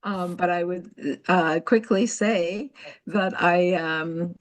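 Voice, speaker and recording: Woman from Jamaica, lowish voice, chatty storytelling, video call. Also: steady tone, slow. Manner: chatty, storytelling, frequent fillers. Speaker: participant asking a question. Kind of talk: casual online meeting chat.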